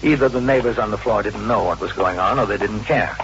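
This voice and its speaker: An actor playing a calm, firm police captain who speaks in a measured, matter-of-fact way in a vintage radio drama. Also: male voice, lowish voice, close to an everyday voice. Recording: dull, noisy old radio recording.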